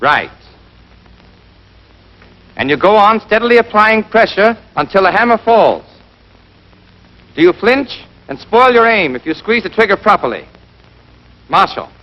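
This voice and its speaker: A man playing a brisk, exacting American army rifle instructor in a scripted 1940s training film, drilling and quizzing with emphasis. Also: sits high, sing-song. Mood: brisk, instructive. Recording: dull band-limited 1940s film soundtrack.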